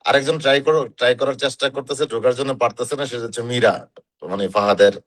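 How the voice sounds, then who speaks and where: A man's voice: mid-range voice, quick pace, a bit bright, dry recording. Casting: male Bangladeshi host, middle register, informal conversational delivery, little room sound. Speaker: host of group chat. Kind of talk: casual chat.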